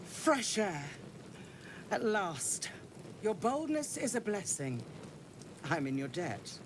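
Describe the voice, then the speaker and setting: An actress playing a commanding, formal city counselor who speaks in an authoritative, measured way. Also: female voice, mid-range voice, sing-song, noisy background.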